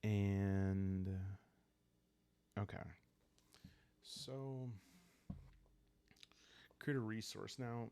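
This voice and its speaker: A man calmly narrating a solo roleplaying game in an ASMR style, soft and halting as he thinks aloud. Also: fairly low pitch, smooth. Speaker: host and solo player of a journaling roleplaying game.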